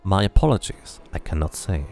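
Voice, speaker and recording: A man voicing a solemn male dabus tattooist who speaks in slow, cryptic pronouncements. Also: low voice, big pitch swings, gravelly, darkish tone, faint music behind, full character voice.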